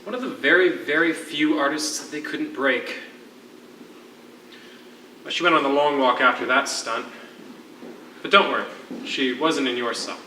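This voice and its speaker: Distant, very sharp-voiced sardonic male prisoner. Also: medium pitch, moderate pace, pauses often. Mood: sardonic amusement. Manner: wry, hesitant asides, theatrical emphasis. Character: sardonic, teasing fellow prisoner with a curious streak. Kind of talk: theatrical stage play acting. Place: theatre stage, live performance. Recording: echoey stage recording, distant, faint noise.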